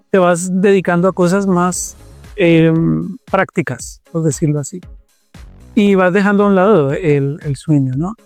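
A man's voice: high voice, darkish tone, no echo. A man with a Colombian accent talking conversationally in an interview, repeating phrases for emphasis. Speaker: interviewee, TV presenter and producer.

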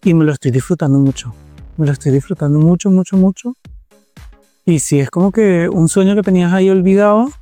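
A man's voice: sits high, brisk, mellow, soft background music. A man with a Colombian accent talking conversationally in an interview, repeating phrases for emphasis. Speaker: interviewee, TV presenter and producer.

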